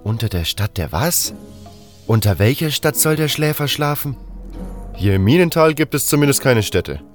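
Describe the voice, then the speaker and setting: A man's voice: medium pitch, lively intonation, moderate pace, voice pushed up, natural voice. A man voicing a learned, brooding mage's apprentice in an audio drama, reasoning step by step. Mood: pondering. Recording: music under the voice.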